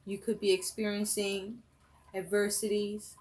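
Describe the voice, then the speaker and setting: Slow, even-toned woman reading tarot. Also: mid-range voice. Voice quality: voice rough and breaking, coughing. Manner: slow, measured, listing one phrase after another. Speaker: tarot reader.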